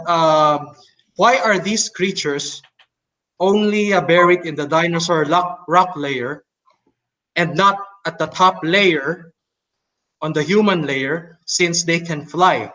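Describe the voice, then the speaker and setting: A man with a Chinese and Tagalog accent, talking casually on a podcast and pausing often with fillers and restarts. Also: high-pitched, bright, forceful tone, low-quality recording. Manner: hesitant, many fillers and restarts, mocking laughs. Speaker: co-host.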